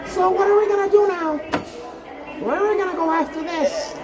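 Cheeky, silly hand puppet played by a woman, extremely high voice, unhurried and sing-song, clear background noise. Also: a loud crowd. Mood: playful, teasing. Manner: playful, exclaiming, sing-song. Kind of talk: improvised playful puppet show. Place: indoor corner with bookshelves, behind puppets.

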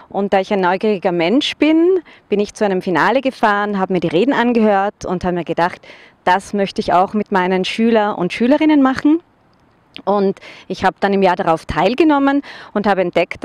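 A woman's voice: mid-range voice, a little mellow, dry recording. A middle-aged woman teacher from Vorarlberg with an Austrian German accent, speaking earnestly outdoors into a handheld microphone in front of a school. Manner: earnest, measured, with occasional hesitations. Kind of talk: personal testimonial to camera.

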